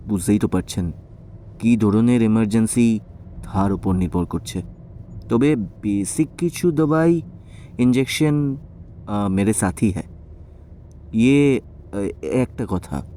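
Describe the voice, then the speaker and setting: Middle-aged male doctor, slow, halting, deferential, faint background ambience, audio drama. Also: fairly low pitch, warm tone, slight background noise. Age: 40s. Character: polite, hesitant Bengali doctor in his forties. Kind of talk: audio story acting.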